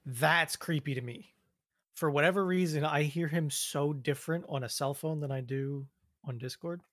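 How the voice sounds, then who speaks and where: A man's voice: on the high side, normal speed, a bit bright, no room sound, clean studio sound. A man co-hosting a podcast, chatting casually, rambling and trailing off mid-sentence. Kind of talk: casual chat. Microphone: studio mic.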